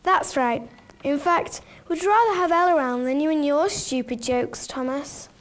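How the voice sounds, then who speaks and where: A woman's voice: sits high, normal speed, natural voice. A woman playing a keen, curious schoolgirl friend in a radio play. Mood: assertive.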